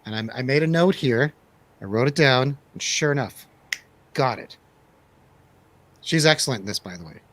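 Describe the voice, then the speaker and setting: A man's voice: medium pitch, animated, moderate pace, pauses often, a bit bright. A man hosting a casual movie review radio show.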